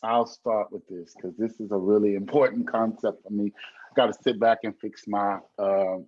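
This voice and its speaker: A man's voice: lowish voice, flat delivery, normal speed, darkish tone, muffled recording. A man speaking as a panelist on a video call, emphatic and preacherly, repeating his key points.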